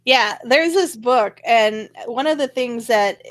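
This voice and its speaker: A woman hosting a casual talk show, chatting in a halting, wandering way. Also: on the high side. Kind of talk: casual conversational commentary.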